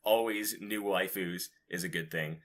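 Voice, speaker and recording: A man's voice: deep voice, unhurried, fairly bright tone. A man co-hosting a podcast, chatting casually and informally about video games with loose, slangy talk. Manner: informal, slangy, mildly profane. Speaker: podcast co-host.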